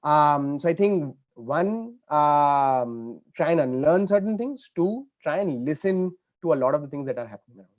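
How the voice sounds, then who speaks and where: A man answering as a panel speaker, explaining in a halting way with repeats and rephrasing, on dull call audio. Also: on the high side, lively intonation, darkish tone.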